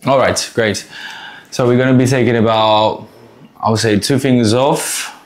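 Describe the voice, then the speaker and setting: A man's voice: medium pitch, steady tone, moderate pace. A man hosting a podcast while cutting a guest's hair, chatty and asking questions, restarting his words often. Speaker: podcast host and barber. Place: barbershop.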